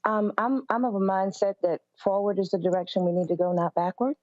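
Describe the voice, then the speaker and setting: A woman's voice: mid-range voice, fairly even intonation, fairly quick, a little mellow, no room sound, muffled recording. A woman giving candid, opinionated political commentary in a conversational way as an interview guest. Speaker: interview guest, pastor and organization CEO.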